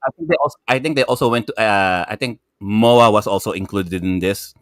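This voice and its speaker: A man with a Filipino accent chatting casually as a gaming show panelist, excitable and rambling, often repeating himself. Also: lowish voice, brisk, dry recording, studio quality. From Philippines. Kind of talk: casual chat.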